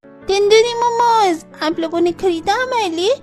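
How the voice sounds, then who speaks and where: Woman voicing a very high-pitched little schoolboy. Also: measured pace. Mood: delighted. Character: proud, sulky little schoolboy of a poor family. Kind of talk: cartoon dialogue acting. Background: background music.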